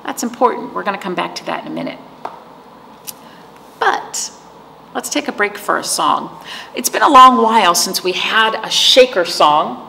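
A woman's voice: medium pitch, animated, normal speed, pauses often, sharp tone. A middle-aged woman hosting storytime as a children's librarian, reading aloud and chatting to young listeners in simple phrases with questions. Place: indoor library room, seated before patterned wall. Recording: echoey room with clear background noise.

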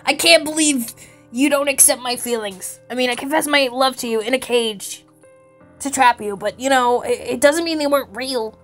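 A woman's voice: sits high, moderate pace, soft background music. A woman improvising a game roleplay with friends in a playful, dramatic way. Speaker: player in a video game roleplay.

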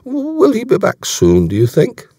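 A man's voice: sits high, wildly swooping pitch, a little slow, mellow, slightly rough, dry recording, clean studio sound, pitched above the performer's own voice, exaggerated voice. A man voicing a bluff, shrewd German naval commander in an audiobook, genial and courteous as he probes with questions. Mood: genial probing.

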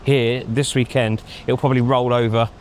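A middle-aged man giving measured opinions in a casual outdoor chat, thinking aloud with frequent ums, on a lapel mic. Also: medium pitch, flat delivery, a little hiss or hum. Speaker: pundit. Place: outdoors in a racing paddock at night. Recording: faint outdoor street ambience.

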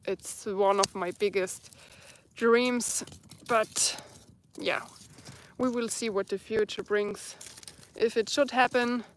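A woman's voice: mid-range voice, fairly even intonation, slow, pauses often, a little hiss or hum. A woman in her thirties with a German accent, reading viewer comments on a live stream at night in the forest, searching for words in English. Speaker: bushcraft vlogger. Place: outdoors in a dark forest at night by a campfire.

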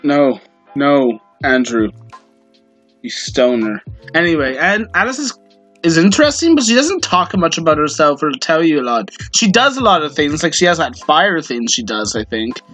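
A man's voice: a little high, very animated, moderate pace, a bit bright, faint music behind. A man hosting a casual podcast, rambling, swearing offhand and tossing in goofy asides. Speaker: podcast host.

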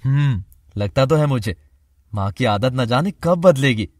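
A man voicing a playful, reassuring young husband in an animated family drama. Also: medium pitch, unhurried, no room sound, clean studio sound. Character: affectionate young husband, easygoing son.

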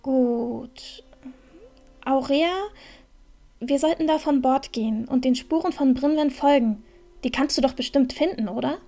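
Woman acting a high-voiced, determined young heroine. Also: a little slow, warm tone. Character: bold, determined young heroine, impatient to help. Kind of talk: fantasy audio drama acting.

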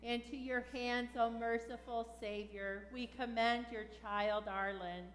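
A woman pastor leading a funeral liturgy in a measured, solemn way in a large church. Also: fairly high pitch, fairly even intonation. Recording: echoey church hall with background noise.

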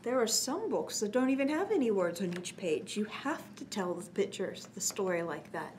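A middle-aged woman hosting story time, reading to young children with expressive, simple phrasing. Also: medium pitch, moderate pace, slight background noise. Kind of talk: children's read-aloud narration.

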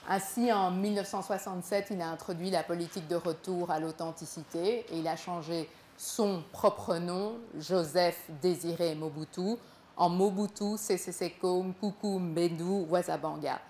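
A young woman who grew up in Belgium, telling her own story to a small audience in a gallery room, halting and repeating words as she goes. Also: lowish voice, steady tone, a little mellow, echoey room, slight background noise. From Congo, raised in Belgium. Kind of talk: live spoken performance.

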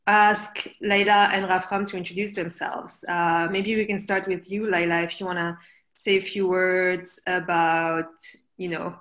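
A woman's voice: lowish voice, a bit bright. A woman in her thirties moderating a casual art conversation over a video call from indoors. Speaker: interviewer, moderator. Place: indoors, room with archway. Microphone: computer mic on a video call. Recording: roomy, echoing video call.

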